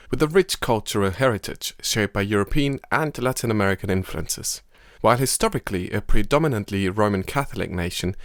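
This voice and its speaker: A man hosting a podcast, reading scripted narration in a measured way. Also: fairly low pitch, dry recording. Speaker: podcast host. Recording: dry studio voice-over with music.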